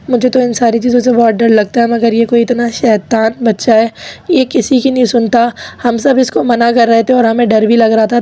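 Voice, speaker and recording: A woman in her thirties with a hoarse voice from a sore throat, chatting to viewers as she narrates her family vlog. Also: fairly high pitch, dark tone. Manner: chatty, addresses viewers directly. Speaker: vlogger. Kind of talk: casual vlog voice-over narration.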